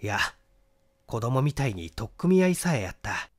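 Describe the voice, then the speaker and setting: Man reading as an earnest, expressive young gentleman. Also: mid-range voice, moderate pace, pitched below the performer's own voice. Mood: confessional, reasoning. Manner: reasoned, confessional. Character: earnest young gentleman explaining a bitter love rivalry. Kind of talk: dramatized audiobook dialogue.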